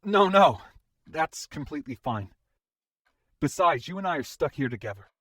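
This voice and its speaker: A man's voice: medium pitch, expressive, moderate pace, bright, forceful tone, husky, no room sound, clean studio sound. A man playing a polite, level-headed adventurer with formal wording in a fantasy audio drama. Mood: reasoning, sympathetic.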